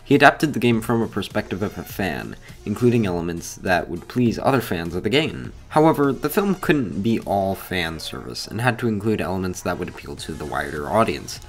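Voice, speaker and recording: A man's voice: deep voice, sing-song, fairly quick, few pauses, soft background music. A man hosting a video essay, reading scripted commentary in an informal, opinionated way.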